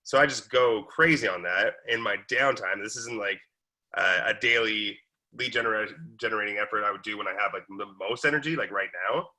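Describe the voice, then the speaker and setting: A man's voice: on the low side, fairly quick, bright, forceful tone, poor audio. A man, a real estate agent chatting casually on a call, full of likes and ums. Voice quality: raspy.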